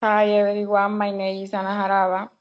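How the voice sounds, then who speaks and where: A woman of twenty with a Colombian Spanish accent, giving a prepared account in halting phrases. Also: mid-range voice, monotone, dull audio. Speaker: podcast panelist, language student.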